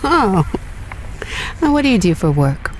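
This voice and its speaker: A woman's voice: mid-range voice, sing-song, unhurried, a little mellow, a little hiss or hum, rough recording. A woman playing a wry, restless young space explorer in a sci-fi audio drama, casual and teasing.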